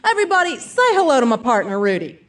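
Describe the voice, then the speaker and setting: A woman's voice: fairly high pitch, very animated, quick pace, recorded from a distance, very noisy. An actress playing a brash, upbeat self-defense seminar speaker who addresses her audience emphatically in an audio theatre piece. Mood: chatty, jokey. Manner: emphatic, peppy motivational-speaker delivery, folksy asides.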